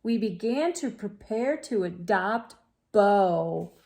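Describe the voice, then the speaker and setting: A woman reading a storybook aloud to children, talking to them directly in an expressive, exclaiming manner. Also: medium pitch, takes it slowly, creaky. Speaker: children's read-aloud host.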